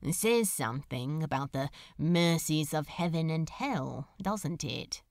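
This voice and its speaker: A wry demon of a man, put on by a woman narrator, drawls through a storybook tale. He sounds nonchalant and irreverent, musing slowly with expressive dips in pitch. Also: dry recording, studio quality, exaggerated voice. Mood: rueful.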